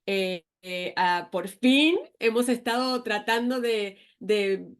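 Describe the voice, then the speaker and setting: A woman's voice: mid-range voice, slow pace, clean tone. A woman hosting a parenting podcast, reading the introduction and then chatting casually with the guest. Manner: reads the intro, then chats loosely with laughs. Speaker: podcast host. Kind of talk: scripted introduction and casual chat.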